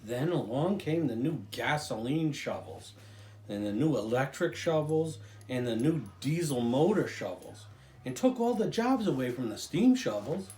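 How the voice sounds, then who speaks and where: An older man reads a children's story aloud in a soft-toned, mellow voice. His pitch swings up and down expressively, and he stretches important phrases. The room adds noticeable echo around him. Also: lively intonation, a little slow. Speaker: storybook reader, grandfather.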